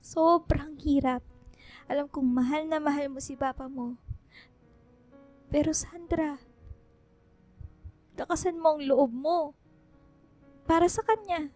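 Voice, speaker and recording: High-pitched, pleading Filipino mother. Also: steady tone, takes it slowly, pauses often, darkish tone, faint music behind. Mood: pleading, encouraging. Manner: pleading, soothing, emotional delivery. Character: loving, worried mother urging her grieving daughter to stay strong. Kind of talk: Filipino radio drama acting.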